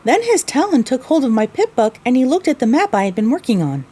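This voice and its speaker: A woman's voice: sits high, sing-song, a little fast, dark tone, husky. A woman narrating a scripted first-person story.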